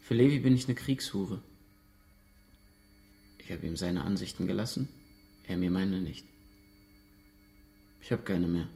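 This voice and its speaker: An actor playing a defiant, evasive uncle under interrogation in a naturalistic radio drama, answering curtly. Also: male voice, low voice, measured pace, vocal fry, pauses often, dark tone. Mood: guarded, curt.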